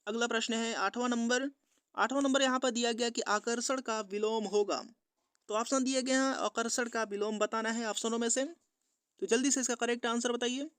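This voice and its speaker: A man with a notably high, clean and resonant voice delivers an exam-prep lesson. He works methodically through questions and answers in a repetitive instructional way. The sound is dry and close, with very little room in it. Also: steady tone, moderate pace, fairly bright tone, smooth, no room sound. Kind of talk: quiz-style lesson narration, reading questions and giving answers.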